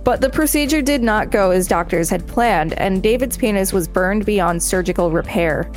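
A woman's voice: mid-range voice, animated, normal speed, faint music behind. A woman podcast co-host reading an encyclopedia article aloud and adding commentary.

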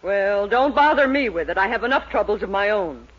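A woman's voice: medium pitch, expressive, brisk, lightly acted. An actress playing a cranky, quarrelsome mother, in a tart 1940s radio sitcom style. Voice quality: tart, sharp-tongued, theatrical delivery. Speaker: Mildred's mother. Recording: dull old radio broadcast.

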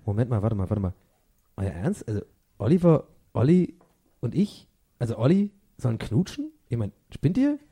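A man playing an excitable, easily miffed boy detective in a comic radio play. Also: medium pitch, moderate pace. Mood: taken aback.

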